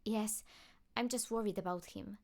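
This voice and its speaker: A woman voicing a caring, anxious teenage girl superhero in an audiobook, expressive and earnest. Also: medium pitch, moderate pace, vocal fry, voice pushed up, natural voice. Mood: worried.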